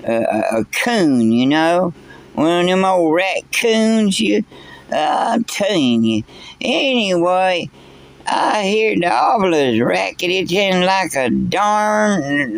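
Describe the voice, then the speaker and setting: A man playing an old backwoods storyteller, rambling folksy and tripping over repeated words as he tells a tall tale. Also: fairly high pitch. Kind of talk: character storytelling.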